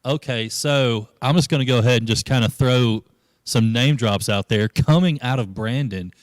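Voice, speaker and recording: A man's voice: moderate pace, dry recording, clean studio sound. A man, a youth pastor hosting a playful live-stream interview chat. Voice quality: low voice. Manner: playful, joking, laughs often.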